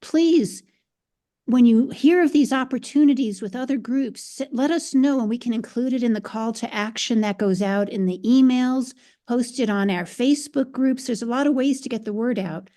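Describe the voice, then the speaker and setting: A woman's voice: fairly high pitch, moderate pace, mellow. A woman speaking earnestly in an online community meeting, encouraging members and often repeating her first words. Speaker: group member on a city committee. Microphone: video call.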